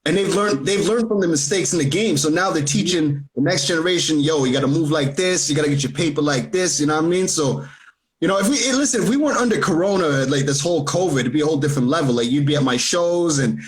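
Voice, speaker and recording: A man hosting a hip hop radio show, talking with eager, animated energy and street slang. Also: on the high side, fast talker. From Canada. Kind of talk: casual hip hop interview. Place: home setup, remote during lockdown.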